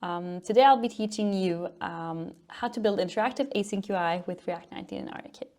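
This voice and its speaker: A woman's voice: mid-range voice, a little fast, darkish tone. A woman from Norway, presenting a technical conference talk in an upbeat, informal explaining way. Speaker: conference speaker, front-end developer and educator.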